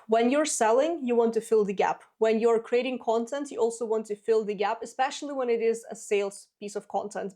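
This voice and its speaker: A woman's voice: fairly high pitch, a little mellow. A woman in her twenties whose first language is Russian, hosting a solo business advice show in a direct, practical way. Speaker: business podcast host.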